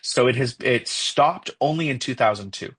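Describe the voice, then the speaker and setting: Halting, thoughtful man with a Mexican accent. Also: mid-range voice, fairly bright tone, slightly rough. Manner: halting, many fillers and restarts, thinking aloud. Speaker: podcast co-host. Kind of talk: casual conversational discussion.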